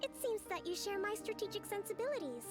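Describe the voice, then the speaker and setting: A woman voicing a composed young priestess and strategist in a video game, polite and measured. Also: very high-pitched, very animated. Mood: calm. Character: young female priestess and calm grand strategist. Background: faint game music.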